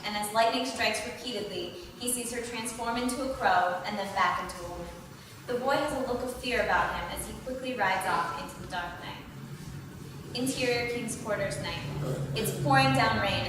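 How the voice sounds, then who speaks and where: A woman reading scripted stage directions as narrator at a table read. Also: mid-range voice. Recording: echoey hall, distant mic, clear background noise.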